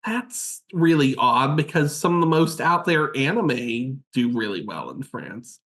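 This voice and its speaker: A male podcast host in a casual group chat, unhurried, reading out facts with deadpan asides. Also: medium pitch.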